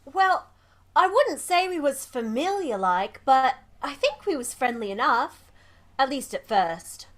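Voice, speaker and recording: High-voiced timid young housemaid, acted by a woman. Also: big pitch swings, unhurried, a bit bright, full character voice. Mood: nervous, timid. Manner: nervous, colloquial servant speech. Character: timid, nervous young working-class English housemaid. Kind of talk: radio drama acting.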